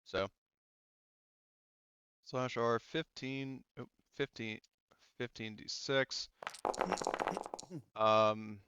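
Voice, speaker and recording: A man running a tabletop game as game master, pausing often with ums while he counts dice and tracks the turn. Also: mid-range voice, steady tone, fairly bright tone, clear, resonant tone. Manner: halting, many ums, counts dice aloud.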